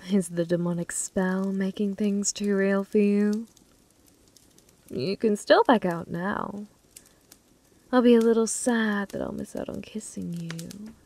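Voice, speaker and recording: Slow, flirty woman as a possessive demoness. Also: mid-range voice, fairly even intonation, gravelly, warm tone. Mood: taunting, seductive. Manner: teasing, seductive, unhurried, drops into whispers. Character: flirty, possessive, taunting female demon bartender. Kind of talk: ASMR roleplay, intimate and slow.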